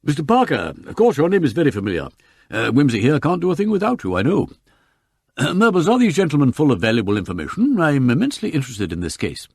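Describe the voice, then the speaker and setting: A man's voice: on the high side, huge pitch swings, fast, husky, no echo, clean studio sound, voice pushed up, full character voice. Man as a hearty, swooping English barrister. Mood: jovial, eager. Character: hearty, effusive, self-assured eminent male barrister.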